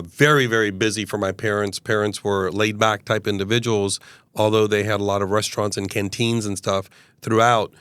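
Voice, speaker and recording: A man in his fifties, from Uganda, tells his story in an earnest, expansive way with plenty of "uh"s. His voice is smooth and resonant, sitting on the lower side, and the sound is dry and close with no room echo. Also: a bit bright. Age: 50s. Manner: earnest, expansive, hesitant fillers. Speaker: guest, mayoral candidate. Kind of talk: casual interview storytelling.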